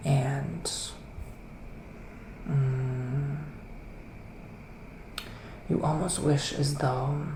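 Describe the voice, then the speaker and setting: Gentle male psychic witch, acted by a man, soft ASMR delivery drifting into whispers, gravelly fry, roomy noisy recording. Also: medium pitch. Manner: hesitant, drawn-out phrasing with pauses. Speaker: ASMR performer. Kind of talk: soft-spoken ASMR roleplay, partly whispered. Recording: roomy echo, clear background noise.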